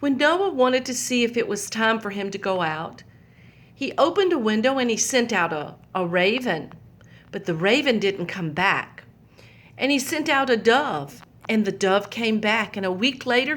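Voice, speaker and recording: Lady reading a kids' bible story, slow and gentle, kinda high voice. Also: animated. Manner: slow, gentle, pointing out pictures to young listeners. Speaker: children's storyteller.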